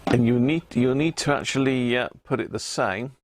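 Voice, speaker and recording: British male hobbyist streamer: informal, rambling, chummy, mid-pitched voice in a dry acoustic. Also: moderate pace, dry recording. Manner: rambling, thinking aloud, chummy asides. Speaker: livestream host, CB radio hobbyist. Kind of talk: casual live chat to viewers. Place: indoor radio room.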